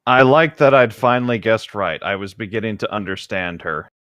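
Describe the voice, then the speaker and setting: A man's voice: fairly low pitch, moderate pace, fairly bright tone, no echo, clean studio sound. A man co-hosting a podcast, switching between reading aloud and casual chat with friends. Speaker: podcast co-host.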